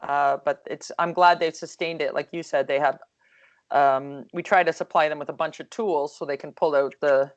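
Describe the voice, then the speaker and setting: Calm low-voiced woman coach. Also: moderate pace, muffled recording. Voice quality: calm, soothing voice. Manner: chatty, lots of fillers and restarts. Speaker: hockey coach. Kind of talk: casual video call discussion.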